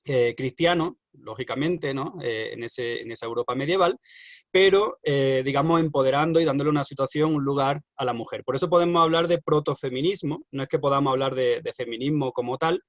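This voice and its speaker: Man lecturing online, halting with fillers, dry close sound. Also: medium pitch, no room sound. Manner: hesitant, many fillers and restarts. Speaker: university lecturer.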